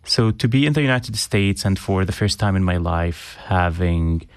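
A young man from Syria, an interview guest speaking earnestly, pausing with frequent ums and restarts. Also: sits low, expressive, no room sound, studio quality. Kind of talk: reflective interview conversation.

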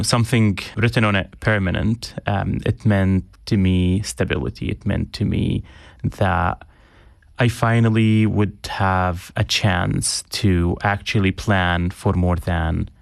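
Low gravelly-voiced young man, earnest. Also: steady tone, takes it slowly, no echo, clean studio sound. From Syria. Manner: earnest, with frequent ums and restarts. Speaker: interview guest. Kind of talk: reflective interview conversation.

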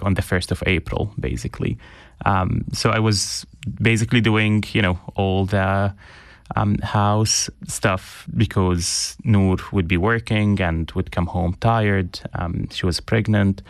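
A young man from Syria, an interview guest speaking earnestly, pausing with frequent ums and restarts. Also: on the low side, dry recording, clean studio sound. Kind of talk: reflective interview conversation.

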